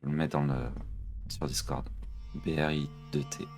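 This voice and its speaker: A man at a role-playing table with a very low voice. He talks casually and jokes easily with the others. Faint music plays behind him. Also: level tone, normal speed, a little mellow. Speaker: player.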